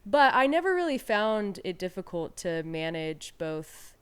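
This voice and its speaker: A woman's voice: mid-range voice, very animated, measured pace, smooth. A woman in her thirties, from Peru, speaking candidly and reflectively as an interview guest, with frequent fillers and restarts. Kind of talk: casual conversational interview.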